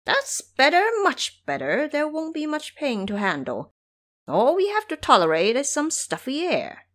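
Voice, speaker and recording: A woman's voice: high voice, wildly swooping pitch, measured pace, pitched above the performer's own voice, full character voice. A woman voicing a brash, playful male monkey-monk trickster in an audio drama, boastful and teasing. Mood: gleeful, cocky.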